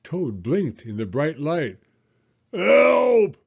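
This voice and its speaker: A man in his fifties, an elementary school teacher, reading a children's story aloud in a gentle, lively way. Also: medium pitch, a little slow, slightly rough, muffled recording. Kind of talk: children's read-aloud narration.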